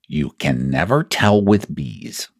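A man's voice: sits low, lively intonation, slow, creaky, no room sound, studio quality, exaggerated voice. A man voicing a small, earnest toy bear from a children's storybook, ponderous and solemn as he schemes. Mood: thoughtful, solemn.

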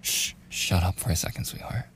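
A man playing a smitten, insistent young man in a boyfriend ASMR roleplay, speaking softly and haltingly, at times in a breathy, coaxing whisper. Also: slight background noise, lightly acted. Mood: hushed, urgent.

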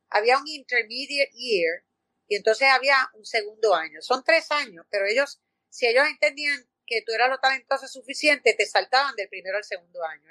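Chatty Puerto Rican actress, fairly high. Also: female voice, dry recording, rough recording. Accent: Puerto Rican Spanish. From Puerto Rico. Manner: animated storytelling with many fillers and restarts. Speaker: actress guest. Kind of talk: conversational interview.

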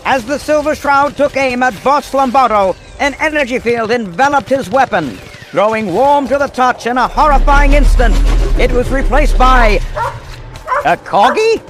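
Guy doing old time radio narrator, super high pitched, dramatic, bit of noise behind him. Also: moderate pace, a bit bright. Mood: suspenseful. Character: breathless, dramatic male radio serial narrator.

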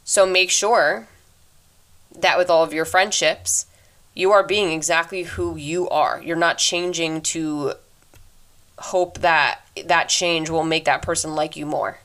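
A woman in her twenties hosting a solo podcast, talking earnestly and reflectively into her microphone, fast by habit, with a deep voice. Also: fairly even intonation, a bit bright. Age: 20s. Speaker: podcast host. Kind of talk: casual solo advice talk.

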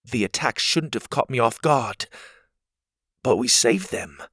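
A man's voice: medium pitch, lively intonation, unhurried, a bit bright, husky, no echo, clean studio sound, exaggerated voice. A man voicing a brave, cocky young boy hero who talks proudly and boldly. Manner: proud, bold and boastful.

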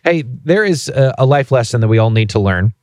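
A man's voice: mid-range voice, lively intonation, fairly quick, a little mellow, dry recording, clean studio sound. A man in his thirties co-hosting a casual podcast, telling a story in a playfully indignant way. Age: 30s. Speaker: podcast co-host.

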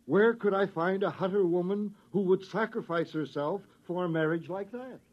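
A man's voice: sits high, normal speed. An American man playing a hesitant settler leader and anxious father who bargains, in a 1950s radio drama. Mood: wary, conciliatory. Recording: muffled old radio recording.

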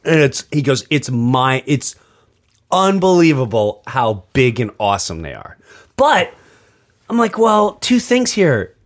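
A man hosts a casual podcast with a highly animated delivery. His pitch jumps around as he tells stories and mimics quoted remarks. The sound is dry and close. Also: a little slow, dry recording. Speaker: podcast host and comedian.

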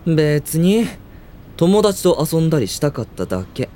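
An actor playing a reserved, understated teenage high school boy who used to dance ballet, in a radio drama with dialogue and narration. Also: sing-song, measured pace, warm tone, a little hiss or hum. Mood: guarded, wistful.

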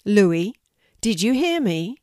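A woman voicing a gentle, loving mother in a bedtime story, soothing in manner. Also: mid-range voice, sing-song, takes it slowly, no room sound, clean studio sound.

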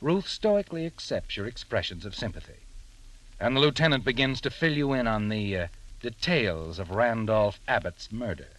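A man's voice: fairly low pitch, animated, moderate pace, fairly bright tone, poor audio. A man playing an ominous, all-knowing narrator in a 1940s radio drama, taunting a killer directly. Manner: ominous, addressing the listener as the character. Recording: old radio recording with music.

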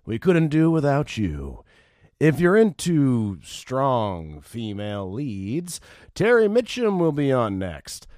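A man's voice: mid-range voice, wildly swooping pitch, slow, darkish tone, no room sound, studio quality. An actor playing a smug small-town radio DJ, delivering his show patter. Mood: smooth sign-off. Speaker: radio host.

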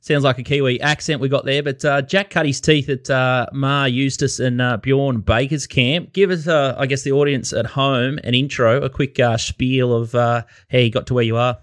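A man's voice: medium pitch, fairly even intonation, normal speed, few pauses, dry recording, studio quality. A man hosting a podcast in a casual style, full of small fillers. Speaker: podcast host. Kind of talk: podcast chat.

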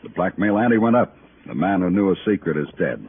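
Quiet relentless detective, low tinny radio voice. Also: male voice, lively intonation. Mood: quiet, insinuating. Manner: measured, insinuating, coolly polite. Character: quiet, relentless male police detective interrogating suspects. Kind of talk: 1950s radio crime drama acting. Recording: tinny, noisy old radio recording.

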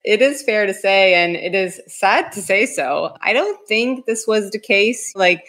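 A woman's voice: mid-range voice, animated, a little slow, a bit bright. A woman who grew up in Turkey and the United States, speaking as an architect guest on a podcast in a casual way, often saying "like" and "you know". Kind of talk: podcast interview.

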